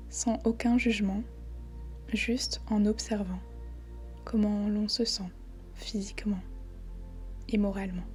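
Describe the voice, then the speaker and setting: A woman guiding a body scan meditation in a soft, breathy, near-whispering voice, calm and soothing, in short phrases with long pauses. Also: mid-range voice. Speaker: meditation guide. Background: faint background music.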